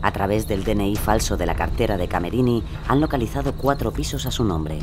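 Deep-voiced male true-crime narrator. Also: brisk, noisy background.

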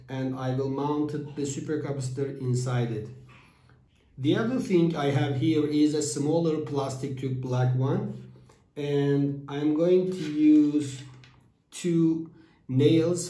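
Man with a dark, mellow voice explaining a build. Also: a little high. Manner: halting non-native phrasing with fillers. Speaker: DIY electronics channel host. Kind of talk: step-by-step build tutorial. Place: small room with whiteboard, indoors. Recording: echoey room.

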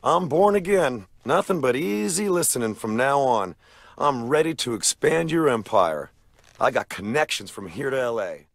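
An actor playing an excitable, foul-mouthed oddball who runs a record store, talking in slang. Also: male voice, mid-range voice, moderate pace, gravelly, no room sound. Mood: eager, excitable. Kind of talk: video game character acting.

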